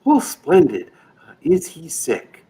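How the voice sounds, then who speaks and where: A man playing a fussy, eager apprentice angel in a radio play, flustered and stammering, with a husky voice. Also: fairly high pitch, wildly swooping pitch, takes it slowly, dark tone, heavily acted. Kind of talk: live radio play acting.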